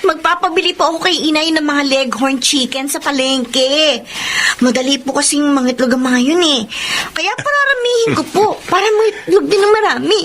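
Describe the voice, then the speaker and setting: A woman's voice: high-pitched, normal speed, a bit bright, a little hiss or hum. A woman playing an eager, respectful teenage daughter with a Filipino accent in a radio drama. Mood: cheerful, coaxing.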